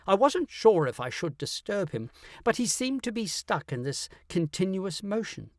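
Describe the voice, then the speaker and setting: A man reading a scripted first-person story as an audiobook narrator, in an animated, dramatic way. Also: sits high, moderate pace, no room sound, studio quality.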